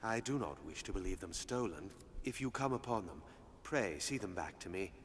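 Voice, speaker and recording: A man's voice: low voice, expressive, moderate pace, slight background noise. A man playing a humble, devout novice village priest in a fantasy video game, with formal, earnest, archaic speech. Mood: troubled, humble.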